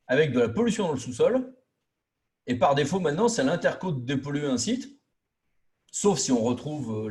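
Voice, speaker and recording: A man's voice: medium pitch, a little mellow. Man lecturing in a colloquial, emphatic way. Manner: colloquial and didactic, acting out imagined quotes. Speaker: trainer lecturing local officials on intermunicipal law. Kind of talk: informal training lecture.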